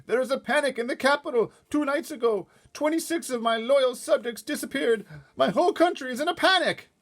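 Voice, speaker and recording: Storybook king: very high, loud and husky, flustered, testy, outraged. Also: fairly quick, exaggerated voice. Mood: panicked. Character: panicked, testy King of Sweden, shrill and indignant. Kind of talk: storybook read-aloud.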